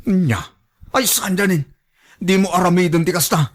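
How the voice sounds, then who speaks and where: A man's voice: sits high, normal speed. An actor with an Ilocano Filipino accent playing a complaining married man torn between lover and children, in radio drama style. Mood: alarmed.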